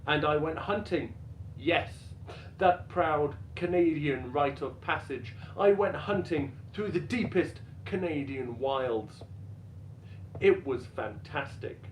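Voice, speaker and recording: A man performing a stand-up routine as a dramatic reading, deadpan and self-deprecating, with deliberate pauses. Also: on the high side. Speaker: stand-up comedian. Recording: roomy echo with faint background noise.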